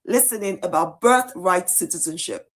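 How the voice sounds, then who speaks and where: A woman's voice: on the low side, expressive, moderate pace, a bit bright. A woman giving indignant, cutting political commentary in a video.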